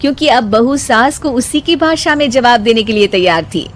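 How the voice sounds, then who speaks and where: Casting: female cartoon story narrator, fairly high voice, faint noise underneath. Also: expressive, normal speed.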